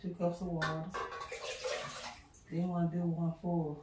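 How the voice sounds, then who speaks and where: A woman's voice: lowish voice, completely flat delivery, moderate pace, noisy background. A middle-aged woman cooking at home, chatting casually to viewers with lots of fillers and y'all. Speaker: cooking vlogger. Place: home kitchen, indoors. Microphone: far camera on counter. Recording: roomy kitchen sound from a distance.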